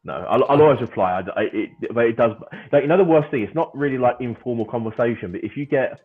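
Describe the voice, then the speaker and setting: A man in his thirties joining a podcast livestream as co-host, chatty and given to playful rants, with quick laughs. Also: medium pitch, slightly rough. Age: 30s. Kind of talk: casual livestream chat. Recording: tinny phone-like call audio.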